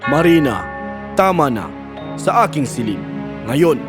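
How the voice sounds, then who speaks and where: Male actor for cartoon king, middle-aged, stern and protective, slightly raised pitch. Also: very animated, unhurried, music in the background. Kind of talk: animated fairy tale dialogue.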